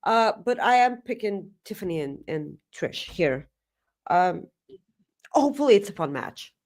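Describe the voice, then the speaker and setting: A woman's voice: medium pitch, expressive, a little slow, a little mellow. A native Bulgarian speaker, this woman co-hosts a casual wrestling talk show with blunt, emphatic, sweary opinions. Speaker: co-host of a wrestling preview show.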